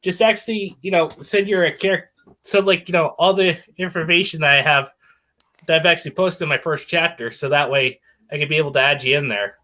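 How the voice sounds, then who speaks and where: Bright-voiced rambling man. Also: a little high, brisk. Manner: rambling, lots of fillers. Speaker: livestream host. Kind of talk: casual chat. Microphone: laptop mic.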